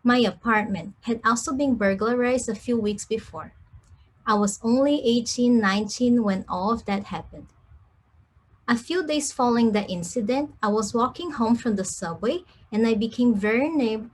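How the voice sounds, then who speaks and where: Husky woman reading her story. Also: fairly high pitch, poor audio. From Brazil. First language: Cantonese. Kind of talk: reading a personal essay.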